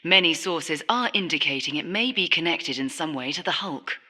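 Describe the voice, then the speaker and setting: A woman voicing a serious news anchor in a video game, reading a formal, crisply delivered bulletin. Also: sits low, expressive, normal speed, sharp tone, exaggerated voice. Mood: serious, urgent. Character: TV news anchor reporting crisis, brisk, serious.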